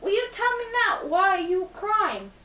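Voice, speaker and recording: A woman's voice: high-pitched, animated, normal speed, a bit bright, voice pushed up. A woman voicing an awkward, polite young man in a romance story, hesitant and rambling. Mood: gently curious. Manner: hesitant, rambling, repeats himself. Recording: distant mic, roomy echo, faint noise.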